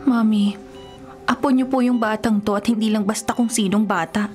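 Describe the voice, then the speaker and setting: A woman's voice: mid-range voice, steady tone, normal speed, a little mellow, close to an everyday voice. A young woman with a Filipino accent playing an earnest, devoted daughter in an emotional radio drama. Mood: pleading, tearful. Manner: emotional, pleading and tender. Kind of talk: Tagalog radio drama acting. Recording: radio drama with music underneath.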